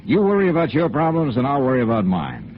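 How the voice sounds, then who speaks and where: An actor playing a tough, wry insurance investigator in a 1950s radio noir drama, with a clipped, hard-boiled delivery. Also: male voice, medium pitch, huge pitch swings, fast. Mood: defiant, wry. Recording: muffled old radio recording, faint noise.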